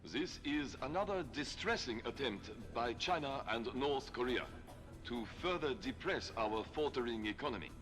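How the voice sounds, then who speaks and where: A stern admiral, voiced by a man, delivering a measured, formal statement in a video game news clip. His tone is grave and official, with light music underneath. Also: mid-range voice, lively intonation, a bit bright, close to an everyday voice.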